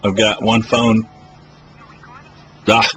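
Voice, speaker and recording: A man's voice: lowish voice, flat delivery, very slow, fairly bright tone, a little hiss or hum. A man hosting a live public access broadcast, rambling and hesitant with many fillers as he leaves voicemails. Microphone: phone camera.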